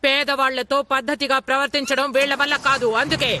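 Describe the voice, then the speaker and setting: High-pitched bossy cartoon grandma. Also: female voice, flat delivery, quick pace, pushed and bright, no echo. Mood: angry, bossy. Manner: scolding, commanding. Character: stern bossy grandmother, easily angered. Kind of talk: dubbed cartoon acting.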